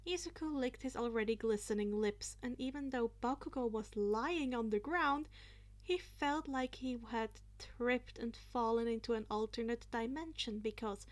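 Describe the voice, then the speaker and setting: A woman narrating fan fiction in an expressive storytelling style. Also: fairly high pitch, normal speed.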